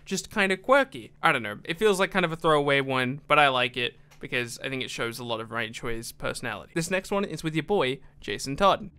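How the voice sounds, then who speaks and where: A man's voice: mid-range voice, animated, brisk, few pauses, pushed and bright, clear, resonant tone. A young man giving casual, enthusiastic commentary as a gaming YouTuber.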